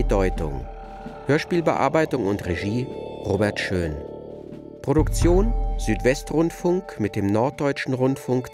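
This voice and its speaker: A man reading scripted titles and credits as the announcer of a radio drama. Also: medium pitch. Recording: music under the voice.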